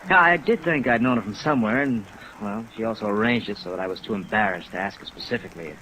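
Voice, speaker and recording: A man's voice: lowish voice, quick pace, bright, forceful tone, low-quality recording. A man acting as a chagrined, middle-aged veteran hotel operator in a 1950s radio drama, hesitant and self-mocking. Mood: wistful, chagrined. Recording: vintage radio recording under rain noise.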